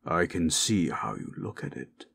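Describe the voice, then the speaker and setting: A man voicing a proud, possessive forest monster in human shape, gravelly and husky, speaking in stilted, imperious phrases for an audio roleplay. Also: deep voice, very animated, a bit bright, heavily acted. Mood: curious, teasing. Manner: slow, imperious, formal. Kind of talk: dramatic audio roleplay, stilted formal speech.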